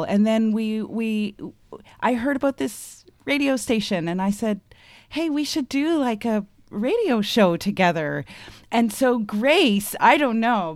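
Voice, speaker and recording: An enthusiastic woman recounting a trip in a relaxed, chatty way. Her mid-range voice sounds close and dry, with no room around it. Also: medium pitch, no echo. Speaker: guest. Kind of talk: casual storytelling.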